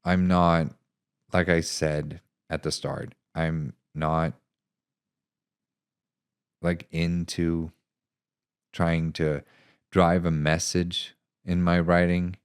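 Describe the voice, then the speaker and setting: A man narrating and reflecting on his own writing in an unhurried, thoughtful way. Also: deep voice, little pitch movement, smooth. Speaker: author reading own short story. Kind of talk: scripted narration and casual reflective talk.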